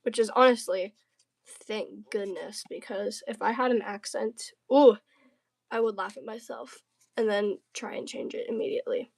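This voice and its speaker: A woman's voice: medium pitch, moderate pace, vocal fry, darkish tone. A woman from the southern United States livestreaming casually, chatting and bantering with viewers in a playful, slangy, jokey way. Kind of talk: casual livestream chat while crafting.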